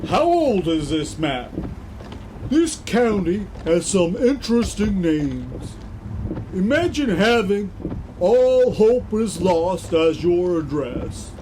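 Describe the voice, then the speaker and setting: Casting: male actor as dry comic sidekick brother, high voice with slow, drawn-out lines, skeptical temper, for audio drama. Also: big pitch swings, darkish tone, slight background noise, exaggerated voice, low-quality recording.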